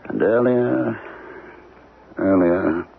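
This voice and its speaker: An actor playing a troubled, earnest professor haunted by memories, speaking haltingly and intensely in a 1970s radio drama. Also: male voice, deep voice, vocal fry, heavily acted. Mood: brooding, distant. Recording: muffled, noisy old radio broadcast.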